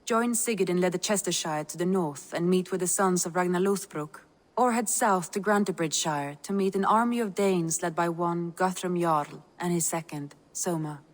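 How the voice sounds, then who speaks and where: A woman acts a strategic Viking advisor for a game. Her voice sits low and she explains things formally and with composure. A long reverb hangs on the sound. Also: moderate pace, close to an everyday voice. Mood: briefing. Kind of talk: dramatic game dialogue.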